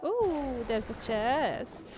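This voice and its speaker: A woman gives casual gameplay reactions in a fairly high voice. She drops short, playful asides half to herself, with game music clearly audible behind her. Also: big pitch swings, extremely slow.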